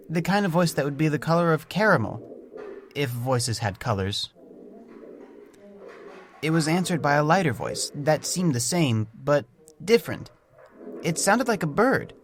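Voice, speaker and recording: A man voicing a gentle, naive, curious young pet creature, narrating an audio storybook in simple, thoughtful phrases with soft wonder. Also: fairly high pitch, slight background noise. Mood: dreamy wonder, then quiet curiosity. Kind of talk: first-person storybook audio drama narration. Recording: music under the voice. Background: music.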